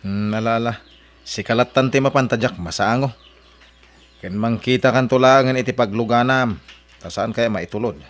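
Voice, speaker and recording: A man's voice: medium pitch, moderate pace, lightly acted. An actor playing a practical, plain-spoken married father explaining things conversationally in an Ilocano drama. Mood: matter-of-fact. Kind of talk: Ilocano radio drama dialogue. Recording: music under the voice.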